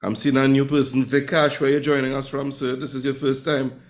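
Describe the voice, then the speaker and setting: A man's voice: medium pitch, level tone, fairly quick. A man teaching a live online Spanish class, chatty and teasing with his students.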